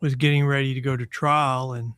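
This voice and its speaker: A man's voice: medium pitch, flat delivery, fairly quick, fairly bright tone, clear, resonant tone, dry recording, clean studio sound. A man in his sixties from Texas, a guest attorney talking casually and with self-deprecating humor in an interview. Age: 60s.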